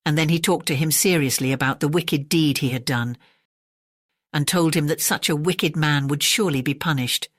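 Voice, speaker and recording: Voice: medium pitch, moderate pace, fairly bright tone, dry recording. Storyteller reading a fairy tale, dry close recording. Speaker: storyteller, audiobook narrator. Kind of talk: scripted fairy tale narration.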